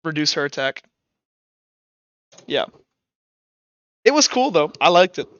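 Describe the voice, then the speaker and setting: A man's voice: fairly high pitch, very animated, a little slow, fairly bright tone, dry recording, dull audio. A man acting as game master, casually narrating a tabletop game and talking through rules and dice rolls on a headset.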